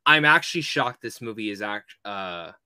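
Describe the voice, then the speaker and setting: A man's voice: lowish voice, lively intonation, normal speed, pushed and bright, smooth, dry recording, clean studio sound. A man hosting a casual film review podcast, speaking informally and emphatically, often repeating phrases for stress.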